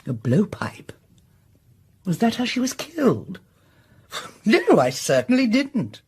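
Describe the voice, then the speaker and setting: Haughty upper-class English countess. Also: female voice, medium pitch, wildly swooping pitch, slow, darkish tone, slight background noise. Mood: guarded. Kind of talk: radio drama acting.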